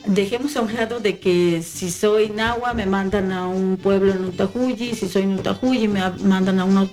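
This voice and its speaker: Brief: hesitant woman in casual talk, soft music underneath. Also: mid-range voice, fairly even intonation, moderate pace. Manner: hesitant, many fillers and restarts. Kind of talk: casual conversation.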